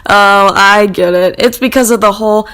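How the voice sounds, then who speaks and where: A woman's voice: normal speed, a bit bright, clean tone, close to an everyday voice. A woman playing a chirpy, naive possessed friend, casual and blithely reassuring in a comic monologue. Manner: perky, casual, high-pitched.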